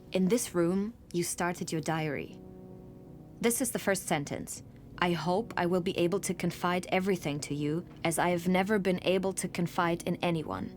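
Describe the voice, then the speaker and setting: A woman narrates a documentary as if reading out a reflective letter. Her voice sits on the low side, and music plays clearly behind her.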